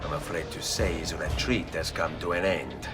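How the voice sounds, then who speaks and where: An actor playing a bold young Italian assassin, speaking with an Italian accent in a steady, determined way. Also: male voice, very deep voice, normal speed, distant mic, over music. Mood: resolute. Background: orchestral score.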